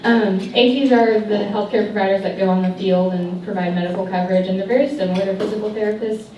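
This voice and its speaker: A woman's voice: mid-range voice, fairly even intonation, fast, dark tone, a little hiss or hum. Student panelist, woman in her twenties, from Texas, chatty; distant mic in a reverberant hall, clinking cutlery behind. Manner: chatty, apologetic about a cutting mic, laughs often. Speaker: physical therapy student panelist. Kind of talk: panel answers at a luncheon. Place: large banquet hall. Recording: echoey banquet hall, distant mic, dish clatter. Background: cutlery and dishes clinking.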